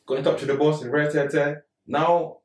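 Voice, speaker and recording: A young man from England, co-hosting a podcast in animated, slangy casual chat. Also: normal speed. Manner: animated, slangy, jumps into high pitch when excited. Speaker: podcast co-host. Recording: echoey room, mic at a distance.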